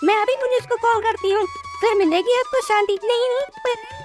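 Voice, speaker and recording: Woman playing a hot-tempered mother of a married daughter, very high pitch, cartoon story, music behind. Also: a little fast. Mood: furious. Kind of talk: animated Hindi moral story dialogue.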